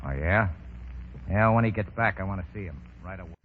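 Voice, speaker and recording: Actor as low, surly radio mobster. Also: male voice, a little fast, natural voice. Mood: suspicious, menacing. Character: surly, suspicious gangster henchman. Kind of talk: 1930s radio acting. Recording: tinny noisy 1930s radio recording.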